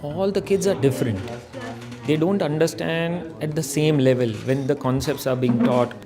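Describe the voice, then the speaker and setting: A man with a somewhat high voice explains things as a teacher would in an interview. Faint music plays behind him. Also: moderate pace. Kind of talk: interview testimonial.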